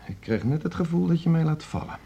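An indignant hard-liner of a music teacher in a radio drama. His voice is rough-edged and very lively in pitch. He is curt and combative, always aggrieved. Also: fast talker, heavily acted. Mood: wounded, accusing. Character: indignant hard-line male music teacher demanding punishment. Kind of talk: Dutch radio drama acting.

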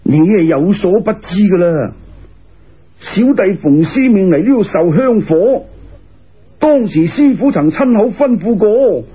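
Man playing an anxious husky bat spirit. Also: high-pitched, heavily acted. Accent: Cantonese. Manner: deferential, worried, earnest. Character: cautious, anxious male bat spirit, humble disciple. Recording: muffled lo-fi radio recording.

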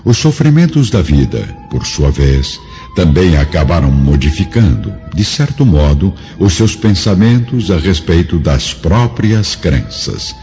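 A man with a very low, mellow voice narrates a radio drama. His formal, literary phrasing rises and falls widely, over soft music. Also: sing-song, measured pace. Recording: dull lo-fi audio with music behind.

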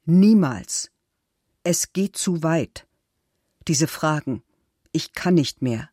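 A woman playing an anguished accused woman who protests her innocence in a deliberate, pleading documentary monologue. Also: sits low, animated, dark tone, no room sound, full character voice. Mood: desperate, pleading.

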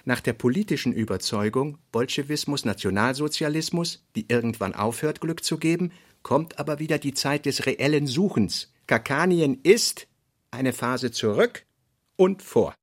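A man's voice: mid-range voice, animated, moderate pace, no room sound, clean studio sound. A man reading scripted literary narration for a radio play.

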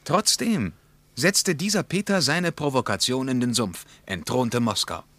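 An actor playing a reflective German traveller and war veteran in a radio play, with an emphatic, musing delivery. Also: male voice, medium pitch, expressive, measured pace, a bit bright, no room sound. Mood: awed, insistent.

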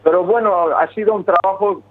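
A man from Quebec, Canada, who speaks French as his first language, talking haltingly with many restarts in a phone interview about his band. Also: sits high, fairly even intonation. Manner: hesitant, many restarts and fillers. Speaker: singer of a metal band, interviewee. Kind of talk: phone interview, casual talk. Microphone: telephone. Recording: phone line.

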